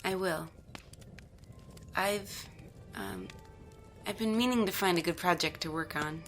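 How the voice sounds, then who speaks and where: A woman's voice: on the low side, measured pace, a bit bright, soft background music. A woman playing a skeptical, bewildered mother in an audio drama, with a hesitant, incredulous way of talking. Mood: hesitant, subdued. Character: skeptical, bewildered mother who makes dolls.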